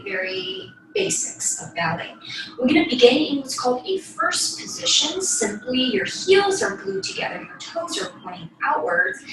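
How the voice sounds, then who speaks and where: A woman's voice: sits high, a bit bright. A young woman giving step-by-step instructional class talk as a ballet teacher, demonstrating as she speaks. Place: small bedroom, indoors. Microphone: far camera across the room. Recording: echoey room, distant mic, faint noise.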